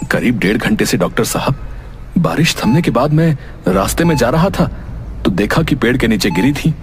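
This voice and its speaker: An actor playing a kindly, pious village neighbour in a cartoon story, folksy and exclamatory. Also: male voice, mid-range voice, big pitch swings, normal speed, natural voice. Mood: worried. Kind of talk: cartoon moral story acting. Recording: music behind the voice.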